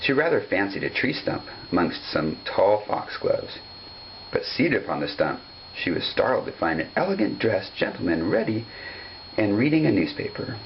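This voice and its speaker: A man's voice: fairly low pitch, big pitch swings, moderate pace, gravelly. A man narrating a children's story from the page, on a dull home recording with some hum. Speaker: storybook reader.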